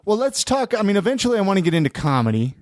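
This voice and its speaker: A man's voice: high-pitched, sing-song, rapid-fire, a little mellow, no room sound, studio quality. A man in his late thirties hosting a podcast interview, chiming in with playful, teasing questions. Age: 30s. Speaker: podcast host. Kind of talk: casual interview.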